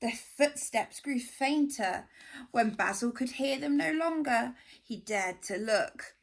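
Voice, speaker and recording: Woman doing a kids' storytime read-aloud, slow and expressive, voice goes up and down a lot. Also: fairly high pitch, creaky. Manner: expressive, gently dramatic. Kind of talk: reading a children's picture book aloud.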